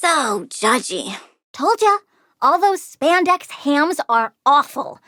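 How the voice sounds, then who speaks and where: A woman's voice: high voice, big pitch swings, slow pace, a bit bright, no room sound, clean studio sound, exaggerated voice. A woman playing a bratty, perky young girl villain who adores plants and scorns humans, in a childlike, petulant video game voice. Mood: petulant, scornful. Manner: petulant, childlike, blithely menacing. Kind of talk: video game character voice lines.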